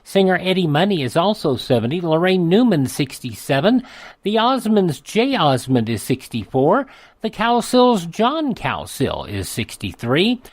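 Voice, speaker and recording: American radio host with dry studio sound. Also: male voice, a little high, sing-song, no echo. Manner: chatty asides, drawn-out names. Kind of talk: scripted radio almanac narration.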